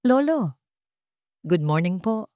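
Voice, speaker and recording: A woman's voice: mid-range voice, sing-song, takes it slowly, dark tone, no echo. Female narrator as polished young male heir in an audio drama, charming yet calculating, dry sound. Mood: warm, charming. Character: smooth, charming rich young grandson with a cold streak.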